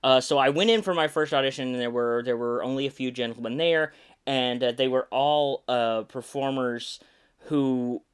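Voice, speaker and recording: A man's voice: mid-range voice, normal speed, smooth. A man in his thirties hosting a podcast, telling behind-the-scenes stories casually with many ums and restarts. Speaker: podcast host, puppeteer.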